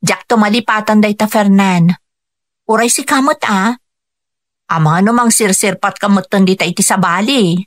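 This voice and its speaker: A woman with a Filipino accent acting an earnest, gentle wife working abroad, in a radio drama. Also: mid-range voice, moderate pace, no room sound, studio quality, natural voice.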